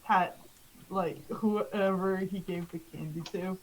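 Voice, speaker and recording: A woman's voice: lowish voice, fairly even intonation, slow pace, a little hiss or hum, rough recording. A young woman narrating as game master in a tabletop game, hesitant with many fillers.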